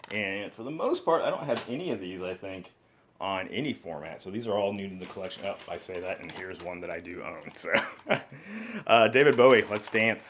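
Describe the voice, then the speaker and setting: A man casually chatting through a cassette unboxing, relaxed and rambling, with frequent fillers and upbeat asides. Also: low-pitched, slight background noise. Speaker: music collector vlogger.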